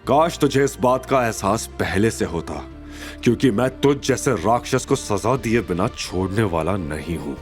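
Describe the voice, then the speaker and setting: A man playing a stern, authoritative major with quiet menace, in an audio drama. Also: fairly low pitch, expressive, moderate pace, soft background music, lightly acted. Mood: stern, menacing. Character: stern, commanding male major, protective and menacing. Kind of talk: Hindi audio drama acting.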